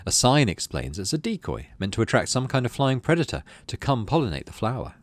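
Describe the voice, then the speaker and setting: A man's voice: medium pitch, sing-song, fairly quick, dry recording. A man hosting a gaming video, reading game text aloud like a storybook and adding casual asides. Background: music.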